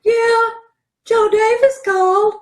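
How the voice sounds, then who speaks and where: A woman playing a dim-witted young female secretary in an old-time radio comedy, with a whiny, breathy delivery over a video call. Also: very high voice, fairly even intonation, slow. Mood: airheaded.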